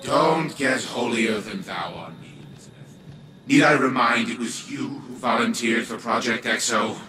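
An actor playing a proud, cold old patriarch turned giant AI in a video game, pompous, theatrical and condescending. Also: male voice, mid-range voice, normal speed, background noise, close to an everyday voice. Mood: indignant. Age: older. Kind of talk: dramatic video game acting.

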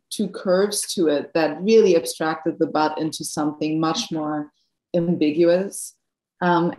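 A woman's voice: low-pitched, a little mellow, reverberant, rough recording. A woman in her thirties, a native German speaker with a German accent, answering questions after an art lecture, thoughtful and searching for words with many fillers. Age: 30s. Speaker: guest artist lecturer.

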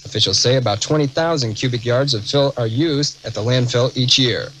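An American man reads radio news from a script. His voice sits at an average pitch. The off-air recording carries a faint layer of noise. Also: brisk, a bit bright, rough recording.

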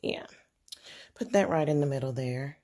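A woman casually showing off her table decorations, soft-spoken and unhurried, with many ums and uhs, filming on a phone indoors. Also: rumbling low, expressive. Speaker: home decor vlogger. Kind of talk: casual show-and-tell narration.

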